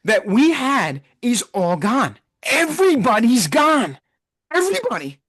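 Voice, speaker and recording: Man, very high voice, hoarse, ranting, repeats himself, sports podcast host. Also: very animated, a little slow, fairly bright tone. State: hoarse, losing his voice. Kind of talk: heated live sports reaction.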